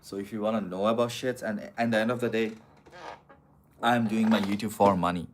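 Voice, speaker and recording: A man's voice: on the low side, steady tone, brisk, a little hiss or hum. A man on a casual live stream, answering viewer comments in a blunt, profane, argumentative way. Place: city streets outdoors.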